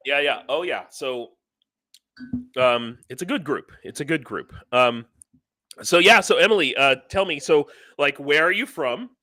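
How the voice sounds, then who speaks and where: A man's voice: on the high side, expressive, measured pace, sharp tone, no room sound. A man hosting a casual livestream interview, rambling a little and often repeating himself as he feels for his words. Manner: hesitant, rambling, repeats phrases.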